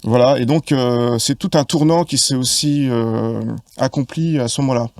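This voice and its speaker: A man in his fifties from Paris with a gravelly edge to his voice, talking as a podcast guest. He lays out his thoughts in long, winding explanations full of hesitations and restarts. The sound is dry and close, with no room around it. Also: medium pitch, moderate pace, a little mellow, no room sound. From Paris, France. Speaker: podcast guest, film expert. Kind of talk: casual conversation.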